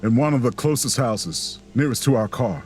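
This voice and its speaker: An actor playing a wary, folksy traveler who tells his story in an audio drama with a soft drawl. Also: mid-range voice, animated, soft background music, natural voice. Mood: hushed dread. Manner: slow, suspenseful first-person narration. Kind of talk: audio drama narration and dialogue.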